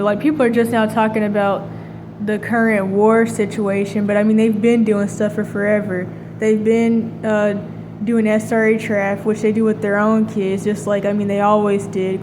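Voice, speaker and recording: A woman's voice: medium pitch, background noise. A woman talking in a casual, rambling monologue, full of fillers and restarts. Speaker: vlogger.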